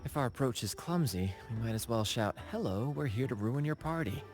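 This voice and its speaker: A man's voice: mid-range voice, animated, fairly quick, natural voice. A man voicing a video game's flamboyant young troupe leader, playful and gallant, with theatrical, flowery phrasing. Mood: playful showmanship. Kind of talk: video game voice acting. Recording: game music behind the voice.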